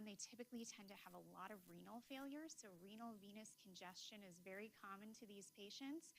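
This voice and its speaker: A woman cardiologist giving a medical lecture in a hall, speaking softly and explaining step by step while asking the audience questions. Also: mid-range voice, slight background noise. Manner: soft-spoken, explanatory, asks the audience questions.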